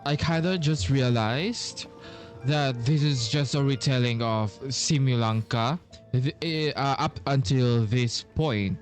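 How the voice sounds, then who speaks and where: A man giving casual commentary on a video game playthrough, musing in a hesitant, halting way. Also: mid-range voice. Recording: commentary over game music.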